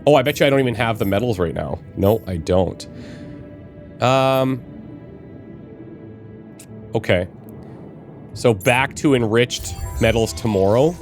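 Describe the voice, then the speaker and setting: Man, a little raspy from a cold, casual game commentary, thinking out loud, faint music underneath. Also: medium pitch, measured pace, long pauses. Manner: musing aloud, planning with fillers. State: slight cold, a bit raspy. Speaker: let's play host.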